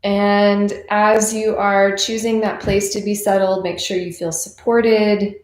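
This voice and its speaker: Slow-speaking woman guiding meditation, roomy sound. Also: medium pitch, flat delivery. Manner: unhurried, conversational, reassuring. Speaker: meditation teacher. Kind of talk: guided meditation, gentle unscripted instruction.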